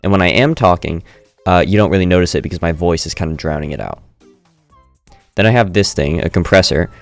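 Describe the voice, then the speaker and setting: A man with a very low voice talks through his audio setup in a relaxed tutorial, pausing to correct himself as he works. He speaks into a studio microphone at a home streaming desk. Faint music plays behind him. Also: gravelly, no echo. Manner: relaxed, self-correcting explainer. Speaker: streamer demonstrating audio software. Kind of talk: casual screen-recorded tutorial. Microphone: studio dynamic mic through audio interface.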